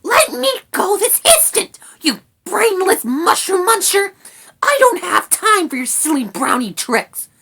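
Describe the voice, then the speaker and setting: A woman voicing an indignant little female rat in a storybook, squeaky and snappy, scolding and frantic. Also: very animated, slow, fairly bright tone, exaggerated voice. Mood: angry. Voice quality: shrill, squeaky.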